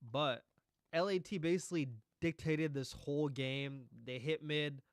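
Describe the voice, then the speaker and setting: A man's voice: fairly high pitch, steady tone, measured pace, clean tone, no room sound, studio quality. A man streaming a review of esports matches in casual, blunt, profane live commentary, rambling with restarts while losing his voice.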